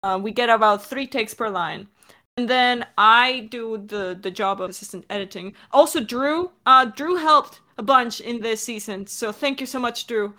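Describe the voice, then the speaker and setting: Bright, high-pitched woman explaining things casually. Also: moderate pace. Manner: informal, explanatory. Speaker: audio drama director and editor. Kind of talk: casual Q&A discussion.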